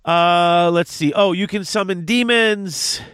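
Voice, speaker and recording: A man's voice: high voice, takes it slowly, fairly bright tone, smooth, dry recording, clean studio sound. A congested man co-hosting a casual tabletop gaming chat, reading rules aloud in eager bursts. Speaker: podcast co-host.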